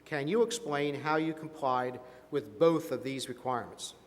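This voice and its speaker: A man playing a formal ethics board examiner in a scripted role-play, putting measured questions to an auditor. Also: mid-range voice, close to an everyday voice. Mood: formal, probing. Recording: echoey hall with long reverb.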